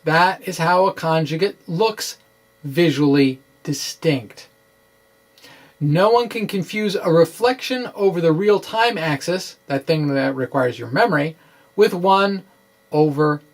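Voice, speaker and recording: A middle-aged man giving a dramatic reading of a science explainer, with deliberate, halting, theatrical phrasing, close to the microphone in a small room. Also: fairly high pitch. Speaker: science blogger presenting. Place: small indoor room with bookshelves. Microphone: microphone close in front on a stand.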